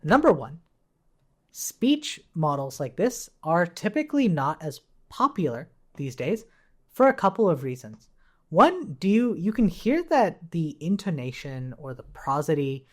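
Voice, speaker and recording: A woman with a very low voice talks through a technical tutorial in a casual way. Her pitch moves around in a lively way as she explains and keeps checking that the listener follows. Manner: informal, checks in with "right?". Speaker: tutorial instructor. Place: indoors at a computer.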